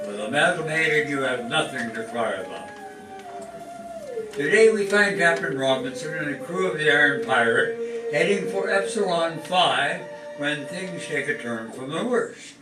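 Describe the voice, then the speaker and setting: Highish male radio announcer, roomy sound. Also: lively intonation, unhurried. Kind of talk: 1950s radio show announcing. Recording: roomy distant mic, clear music.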